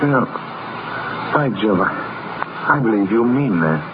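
A muffled, noisy 1940s radio drama recording of a cynical small-town doctor. He is blunt and sardonic, a weary drinker with spirit left, and speaks in a lowish voice. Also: animated, a little slow. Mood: wry.

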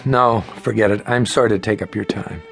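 An actor playing an exhausted, frightened patient in his thirties in an audio drama, weary and halting. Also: fairly low pitch, fairly even intonation, gravelly. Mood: weary, resigned. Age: 30s. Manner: hesitant, halting. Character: exhausted, frightened, agitated thirty-five-year-old male psychiatric patient.

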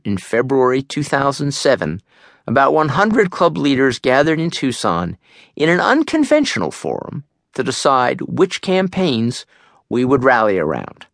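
Male audiobook narrator for nonfiction memoir: mid-pitched, animated intonation, measured, articulate delivery, studio recording. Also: dry recording.

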